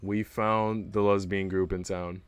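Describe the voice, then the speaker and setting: Male streamer, smooth low-leaning voice, dry close sound, reads game narration with playful, slangy asides. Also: level tone, a little slow, no echo, faint music behind. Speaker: livestreamer. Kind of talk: reading narration aloud with casual livestream commentary.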